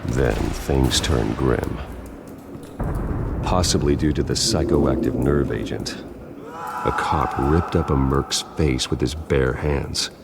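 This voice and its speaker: An actor playing a grim, menacing masked vigilante detective in a video game, with a gravelly growl and terse, clipped delivery. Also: male voice, rumbling low, slow, heavy background noise, heavily acted. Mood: focused, analytical.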